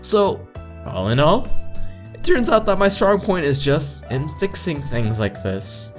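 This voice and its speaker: A man's voice: fairly high pitch, very animated, measured pace. A man reading visual novel text aloud as a let's player, stumbling over lines and repeating words, with joking asides. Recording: game music behind voice.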